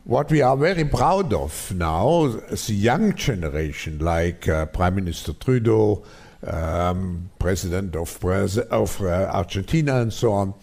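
Deep-voiced man, halting speech in an interview clip. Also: lively intonation, darkish tone. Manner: halting, searching for words. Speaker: forum speaker.

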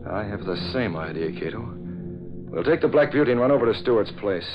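Actor as low-voiced resolute crime fighter, old radio. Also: male voice, animated, faint music behind, close to an everyday voice. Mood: thoughtful, resolute. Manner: measured, authoritative, thoughtful. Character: urbane young crime-fighting newspaperman, calm and resolute. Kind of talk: 1940s radio acting. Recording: dull vintage radio recording.

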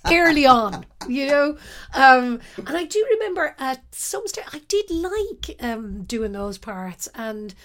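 A middle-aged Irish woman from the west of Ireland, an actress telling stories from her life in a relaxed interview, self-deprecating and quick to laugh. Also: high-pitched. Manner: warm, self-deprecating storyteller, laughs often. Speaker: actress guest.

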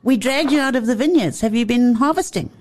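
Effusive, playful woman with a warm voice. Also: on the high side, a little fast. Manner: playful, effusive, laughs often. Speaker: wine commentator. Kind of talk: lively radio chat.

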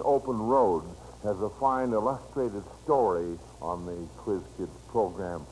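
An American man hosts a vintage radio quiz show at an unhurried pace. He is folksy and encouraging with young contestants, speaking at a middling pitch. The old broadcast recording has a light layer of noise. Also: darkish tone, low-quality recording.

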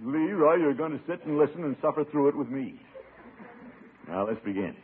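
Blustery, soft-hearted middle-aged uncle played by a male actor, hammy 1950s radio comedy, widely swooping pitch, tinny old broadcast. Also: lively intonation, moderate pace, a little hiss or hum, close to an everyday voice. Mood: mock stern. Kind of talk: 1950s radio sitcom acting.

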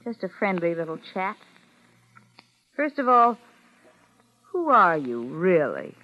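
A woman's voice: lowish voice, very animated, takes it slowly, bright, forceful tone, full character voice. An actress playing a brazen, scheming young woman in a 1940s radio suspense drama. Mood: cool, confrontational. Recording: muffled old radio recording.

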